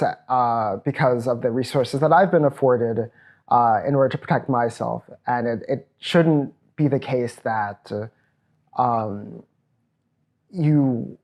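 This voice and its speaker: A man's voice: medium pitch, darkish tone. Earnest man in his thirties, from Trinidad. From Trinidad and Tobago. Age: 30s. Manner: reflective, earnest, measured. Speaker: programs director. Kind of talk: personal testimony at a virtual event.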